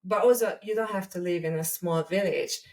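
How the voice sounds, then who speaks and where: A woman with a Hungarian accent in a reflective conversational discussion as a podcast co-host, speaking deliberately with pauses mid-sentence. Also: on the low side, a little mellow, distant mic. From Hungary, a small village.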